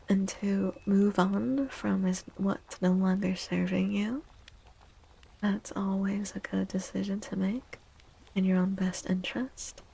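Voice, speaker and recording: A woman speaks very softly in an ASMR tarot-reader style, gentle and unhurried. Her voice is breathy and mellow, with a light creak at the edges, and it often slips into a whisper. She sounds reassuring throughout. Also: medium pitch, poor audio. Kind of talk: soft-spoken ASMR tarot reading.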